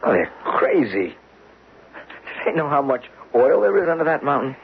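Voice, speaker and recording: An American actor playing a stubborn, confident young geologist in a radio drama, speaking insistently. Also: male voice, on the high side, sing-song, normal speed, gravelly. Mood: cheerful, confident. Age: young adult. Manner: insistent, cocksure. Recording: dull lo-fi old radio recording, faint noise.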